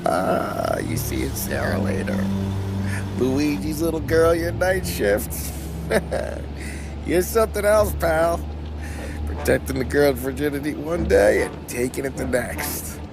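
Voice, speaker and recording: Brash wisecracking gangster over loud music. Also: male voice, a little high, very animated, slow, vocal fry, full character voice. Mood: cocky, teasing. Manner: slangy, teasing, casual. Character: brash, joking, tough-talking male gangster. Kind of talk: video game crime drama dialogue.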